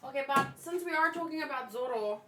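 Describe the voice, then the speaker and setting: A woman's voice: high voice, lively intonation, fast, pushed and bright, a little hiss or hum. A woman hosting a manga read-along, chatting in a rambling, informal way and swearing casually. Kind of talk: casual fan chat mixed with animated manga read-aloud.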